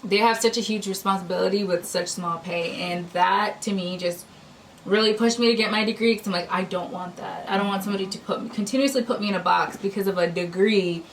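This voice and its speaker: A woman's voice: medium pitch, fairly even intonation, a little fast. Young woman host, casual storyteller, recorded a bit far from the mic. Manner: chatty, storytelling with many fillers. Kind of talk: casual chat. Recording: distant mic with faint noise.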